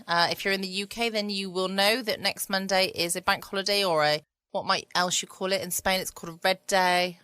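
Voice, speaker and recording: A woman's voice: medium pitch, bright, forceful tone, dry recording. A woman hosting a casual bedtime podcast from home. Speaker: podcast host. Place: at home, indoors.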